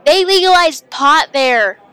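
A woman voicing a high-voiced scoffing teen boy. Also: expressive, takes it slowly, pushed and bright, full character voice.

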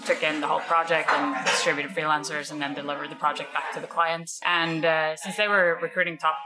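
A woman's voice: sits low, flat delivery, a little fast, over loud music, rough recording. A woman from Norway, a startup founder, talking casually as a podcast guest with many fillers and restarts. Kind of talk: casual interview talk.

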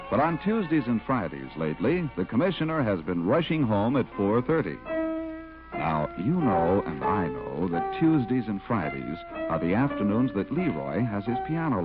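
Animated vintage male radio announcer. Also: lowish voice. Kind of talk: 1940s radio announcing, scripted. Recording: dull vintage 1940s radio recording.

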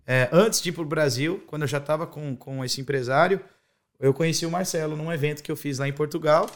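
Higher-voiced Brazilian countryside man with creaky edge. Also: fairly even intonation, a little fast. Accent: strong rural Brazilian interior (caipira). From interior of Brazil. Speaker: musician guest. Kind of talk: casual chat.

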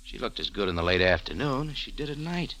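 A man's voice: lowish voice, big pitch swings, quick pace, pushed and bright, slight background noise, full character voice, low-quality recording. A man acting in a 1940s radio drama as a tough, wry American cafe owner turned sleuth, with an American accent and clipped hardboiled delivery. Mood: wry narrating. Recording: vintage radio broadcast with music.

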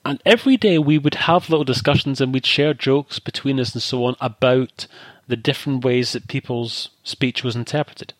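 A man's voice: mid-range voice, normal speed, hardly any pauses, no room sound. A man co-hosting a casual chat show, talking in long thoughtful asides. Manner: discursive, thoughtful, many asides. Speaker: podcast co-host.

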